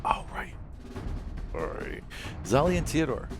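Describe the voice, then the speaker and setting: A man's voice: on the high side, very animated, measured pace, vocal fry. A man running a tabletop game as game master, narrating the action casually. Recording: music under the talk.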